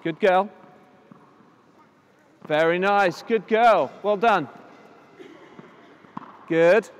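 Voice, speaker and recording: A man coaching children at tennis drills, giving brisk encouraging instructions and projecting his voice loudly. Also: high voice, clear, resonant tone. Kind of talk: on-court coaching demonstration. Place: indoor tennis hall at a conference. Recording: echoey hall, voice from a distance.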